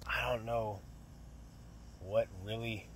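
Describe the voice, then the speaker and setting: A man's voice: lowish voice, steady tone, takes it slowly, fairly bright tone, slight background noise, poor audio. A young man working as an HVAC technician, talking through a service call in a casual vlog, thinking aloud with many fillers and restarts. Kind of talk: casual work vlog narration. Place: outdoors by an AC unit and indoors in a closet. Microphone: phone camera held close.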